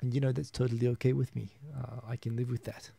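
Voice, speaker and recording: A man's voice: medium pitch, flat delivery, fast, creaky, mellow, slightly rough, no room sound. A man in his thirties with a New Zealand accent, hosting a casual solo live stream, rambling and chuckling as he reads viewer comments. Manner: rambling, chuckling, frequent restarts.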